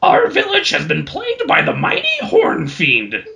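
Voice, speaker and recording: A man voicing a withered, eccentric old troll chief in a raspy, strained cartoon voice with a cheerful sing-song delivery. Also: high-pitched, huge pitch swings, unhurried, pushed and bright, pitched above the performer's own voice, full character voice. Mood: cheerfully grave.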